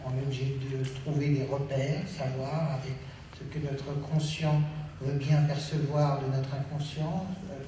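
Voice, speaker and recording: A man moderating a stage debate, introducing the guests in a halting way with many fillers. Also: medium pitch, flat delivery, mellow, far from the mic, slight background noise. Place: theatre stage. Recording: echoey hall, picked up from afar.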